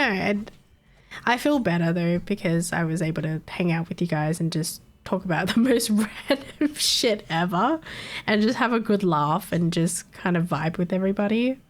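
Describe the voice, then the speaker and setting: A woman streamer chatting casually late at night, a little nasal from a cold, rambling and laughing often. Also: medium pitch. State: recovering from a cold, slightly nasal.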